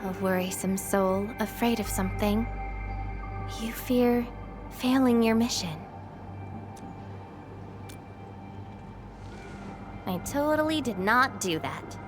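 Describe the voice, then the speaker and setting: A woman voicing an aloof young female swordfighter in a video game, teasing and quietly melancholy, with hesitant pauses. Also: a little high, over music, natural voice. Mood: cool, teasing.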